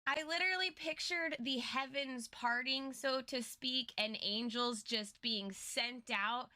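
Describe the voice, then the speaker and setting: A woman with a very bright, forward voice sitting a little high in pitch. She co-hosts a recap show, chiming in with casual commentary. Her manner is playful and joking. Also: takes it slowly. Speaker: co-host of a lore recap show.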